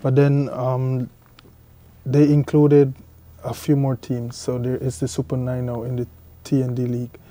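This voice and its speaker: A young man from Guyana being interviewed about his cricket, answering haltingly and often repeating his words. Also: mid-range voice, completely flat delivery, mellow, no echo. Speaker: cricketer guest.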